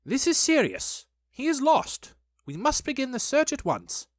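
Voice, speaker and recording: Storybook rabbit played by an adult narrator: officious, fussy, high voice with animated swoops. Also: measured pace, no echo, exaggerated voice. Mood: urgent. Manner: pompous, officious. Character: bossy, self-important rabbit, brisk and fussy.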